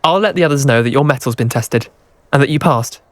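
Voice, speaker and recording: A man's voice: medium pitch, fast talker, lightly acted. A man playing a competitive, cocky teenage wizard in a video game, with a British accent and a declamatory manner. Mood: grudging. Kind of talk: video game cutscene acting.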